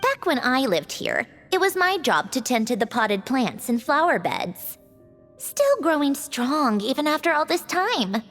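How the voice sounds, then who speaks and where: Proud, wistful automaton girl, lively high voice. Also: unhurried, faint music behind, full character voice. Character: female created automaton, wistful, bossy, proud, fond of puzzles. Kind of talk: English video game voice acting.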